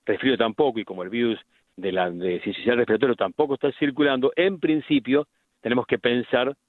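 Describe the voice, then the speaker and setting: A middle-aged man with an Argentine accent, a medical specialist explaining things deliberately in a radio interview, with frequent restarts. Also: mid-range voice, no room sound. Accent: Argentine Spanish. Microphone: phone line. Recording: tinny phone line, dry.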